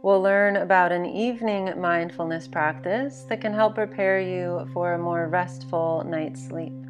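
A woman narrating a guided sleep meditation in a gentle, measured, instructional manner. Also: lowish voice, music in the background.

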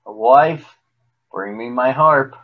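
A man's voice: medium pitch, narrow, tinny audio. Man putting on a bellowing bad-tempered storybook giant. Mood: commanding. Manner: bellowing, commanding, slow heavy delivery. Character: huge bad-tempered man-eating fairy-tale giant.

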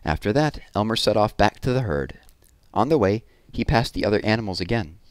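Low-pitched man reading a picture book expressively. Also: dry recording. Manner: expressive, with deliberate pauses and drawn-out words. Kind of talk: children's read-aloud narration. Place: small room at home, indoors. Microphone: headset.

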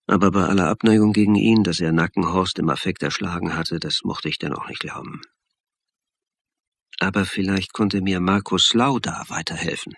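A man's voice: deep voice, moderate pace, gravelly, slightly rough, heavily acted. An actor playing a wry, reflective police investigator who narrates his case in a dry, sardonic way for a crime radio play. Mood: wry, pensive.

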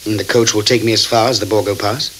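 Actor as a courteous gentleman traveler, low voice, hissy tape. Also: steady tone, natural voice, rough recording. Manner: formal, courteous, measured. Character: polite, businesslike English gentleman traveler, eager and unworried. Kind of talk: radio drama acting with first-person narration. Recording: hissy 1979 cassette tape recording.

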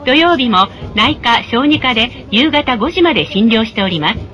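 A woman's voice: animated, moderate pace, a bit bright. A woman reading scripted advertising announcements on a tram in a polite, polished sales delivery. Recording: noisy in-vehicle recording with tram rumble. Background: tram running noise.

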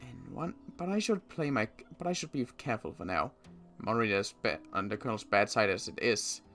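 A man roleplays a suspicious male survivor in a zombie game, talking to himself. His pitch moves in lively swings as he stammers and repeats words. Faint music plays underneath. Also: fairly quick, creaky. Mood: brooding, suspicious. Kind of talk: improvised game roleplay monologue.

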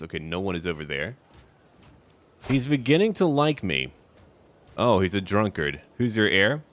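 Deep-voiced man commentating a game, animated intonation. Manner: theatrical, short declarative remarks, talking to characters. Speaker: gaming video host. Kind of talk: first-person roleplay commentary on a strategy game.

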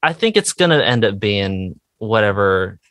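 Low-voiced man chatting, hesitant and self-deprecating. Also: sing-song, moderate pace, a bit bright, no room sound. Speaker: musician guest, indie rock songwriter. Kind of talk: casual interview chat.

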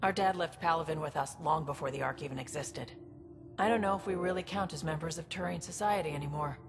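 An actress playing a laid-back, wry young alien crewmate in a video game, speaking casually and reflectively. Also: female voice, low-pitched, quick pace. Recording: long reverb, faint music behind.

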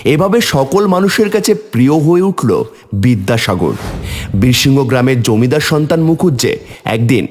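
A man giving expressive scripted narration for a cartoon story. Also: mid-range voice, normal speed, in a large hall. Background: faint music.

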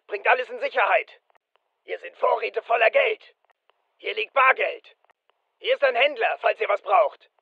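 A brisk fireteam soldier in a military video game. The voice is very high, with clipped, businesslike delivery. The recording is dry and slightly dull. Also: male voice, vocal fry, no room sound. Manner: clipped, matter-of-fact. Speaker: game voice actor.

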